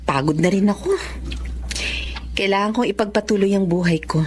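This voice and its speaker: A woman's voice: mid-range voice, normal speed, a little mellow, slight background noise, natural voice. A woman playing a betrayed but resolute wife, emotional and earnest, in a Tagalog radio drama. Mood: resigned resolve.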